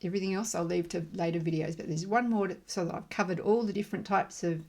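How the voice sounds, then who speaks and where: A middle-aged woman facilitating emotional freedom tapping, repeating affirmation phrases slowly and rhythmically between calm, chatty explanations. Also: on the low side. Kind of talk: guided tapping demonstration.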